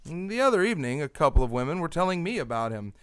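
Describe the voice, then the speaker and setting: A man acting a confident newspaper publisher and masked hero in a community radio drama, brisk and commanding. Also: on the high side, very animated, fairly bright tone, smooth, no echo. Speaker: radio actor. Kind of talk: old-time radio drama.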